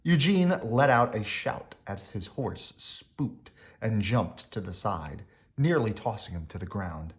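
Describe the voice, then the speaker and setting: A man reading a children's bedtime story aloud in an animated, emphatic way. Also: fairly low pitch, slow. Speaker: storybook reader.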